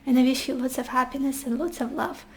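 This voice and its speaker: Woman, high pitch, voice fading and hoarse; soft, reassuring tarot-reading delivery. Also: flat delivery, fast talker. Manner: gentle, reassuring, addresses the viewer directly. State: losing her voice, hoarse. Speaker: tarot reader. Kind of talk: casual pick-a-card tarot reading.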